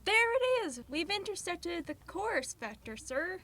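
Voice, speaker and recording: Woman playing a high-voiced deferential spaceship lieutenant. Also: sing-song, a little slow, a bit bright, full character voice. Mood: excited. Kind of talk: radio science fiction acting.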